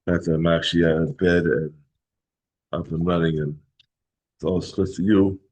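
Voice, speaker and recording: A hoarse middle-aged man teaching a Talmud class in a halting way, often clearing his throat. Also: low voice, fairly even intonation, a little mellow. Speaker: Torah teacher.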